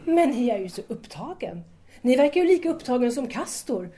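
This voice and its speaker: A woman's voice: on the high side, very animated, dark tone. A woman playing an eager, admiring young student in an audio drama, curious and deferential.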